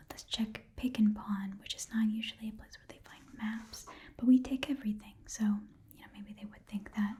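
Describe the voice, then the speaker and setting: A woman voicing a shrewd, chatty goblin shopkeeper in a breathy whisper, rambling and muttering to herself as she haggles in an ASMR roleplay. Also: mid-range voice, mellow, natural voice. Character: shrewd, chatty goblin pawn shop keeper.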